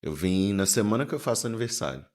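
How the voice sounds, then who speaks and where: A man's voice: on the low side, big pitch swings, quick pace, a little mellow. A man, a lawyer guest telling family stories in an easygoing, humorous way. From born in Venezuela, raised in inland Minas Gerais, Brazil. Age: 40s. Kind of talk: casual interview storytelling.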